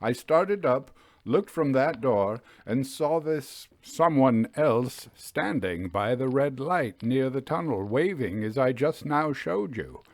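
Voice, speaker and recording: A man playing a troubled, deferential railway signalman in a ghost story, grave and halting, in a low, hushed voice. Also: expressive, no room sound. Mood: haunted. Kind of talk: dramatised audiobook ghost story.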